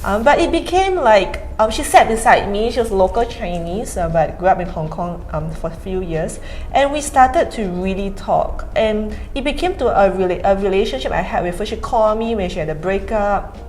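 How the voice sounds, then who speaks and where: A woman in her thirties from Singapore with a Singaporean accent, telling lively personal stories on a panel. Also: medium pitch, moderate pace, slight background noise, rough recording. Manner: lively anecdotes with fillers. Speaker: panel speaker. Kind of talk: storytelling in a panel talk.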